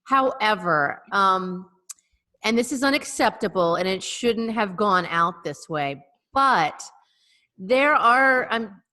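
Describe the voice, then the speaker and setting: A chatty woman co-hosts a podcast over a video call and laughs easily. Her voice is bright and forward. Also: mid-range voice, expressive, slow. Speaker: podcast co-host and café owner. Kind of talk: casual video-call chat.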